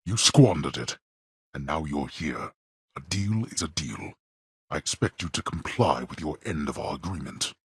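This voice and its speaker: Cold stern underworld king in a game, super husky deep voice, swings his pitch a lot. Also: no room sound, clean studio sound, heavily acted. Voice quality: very husky, gravelly. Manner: cold, disdainful, formal. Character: stern imperious male lord of the underworld. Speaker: voice actor. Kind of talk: video game voice lines.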